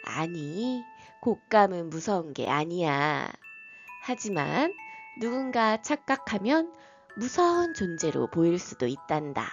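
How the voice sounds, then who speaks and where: A woman voicing a gentle mother reassuring her child in a bedtime story, softly and slowly. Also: lowish voice, sing-song, muffled recording, soft background music, exaggerated voice. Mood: soothing. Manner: soft, reassuring.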